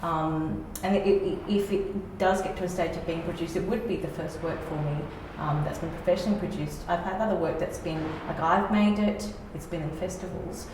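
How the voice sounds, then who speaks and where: Aussie woman writer reflecting casually on her process, lowish voice, super echoey room. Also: steady tone, moderate pace, few pauses. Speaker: playwright being interviewed. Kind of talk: reflective interview talk. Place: reverberant indoor room. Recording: very echoey room, distant mic, faint noise.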